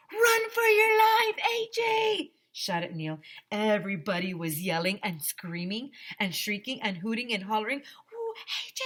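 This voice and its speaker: A woman reading a children's story aloud as a teacher, expressive and pausing for asides to her listeners. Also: fairly low pitch. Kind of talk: animated children's read-aloud.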